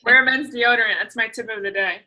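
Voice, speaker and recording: A woman hosting a casual group video chat, chatty and self-deprecating, with quick asides, on a video call mic. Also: a little high, sharp tone, rough recording. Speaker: host.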